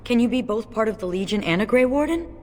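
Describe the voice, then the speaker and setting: A woman's voice: on the high side, expressive, fast, warm tone, slight background noise, natural voice. A woman playing a stern, fatalistic dwarf warrior in a video game, speaking in an earnest, wry way. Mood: surprised, eager.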